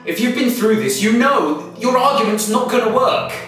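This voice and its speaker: A man's voice: very high-pitched, lively intonation, normal speed. Man acting an anguished captive, echoey and distant. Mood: doubting. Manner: hesitant, pleading. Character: anguished, confused male captive with no memory. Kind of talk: one-act stage drama acting. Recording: very echoey, distant, loud music behind.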